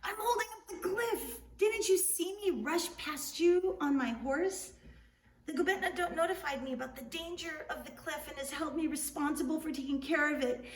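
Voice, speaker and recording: A woman voicing an urgent trickster man in a storybook, pleading and flattering in a shaky, straining, breathless way. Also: very high voice, normal speed, pitched above the performer's own voice. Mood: urgent, strained. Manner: pleading, flattering, near yelling. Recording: roomy, distant mic, light noise.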